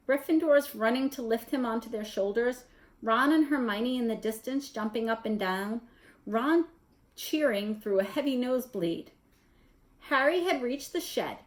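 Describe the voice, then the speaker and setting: Higher-voiced woman reading a story aloud. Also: poor audio. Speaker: story reader. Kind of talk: expressive children's book read-aloud.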